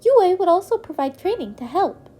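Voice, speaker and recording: A woman's voice: extremely high pitch, very animated, fairly quick, warm tone, a little hiss or hum, pitched above the performer's own voice. A woman voicing a cheerful, chatty male creature who is a school principal, speaking politely and eloquently in an acted story. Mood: upbeat, explaining.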